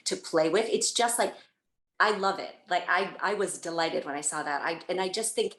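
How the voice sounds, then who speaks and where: A woman's voice: lowish voice, normal speed, fairly bright tone. Enthusiastic woman audiobook narrator, gushing chat with restarts, roomy echo. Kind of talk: casual interview chat.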